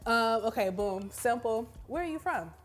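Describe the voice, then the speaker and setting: A young woman from Brooklyn, New York, co-hosting a casual Q&A, asking questions and answering in a lively, playful way. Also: on the high side, normal speed. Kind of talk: casual Q&A chat.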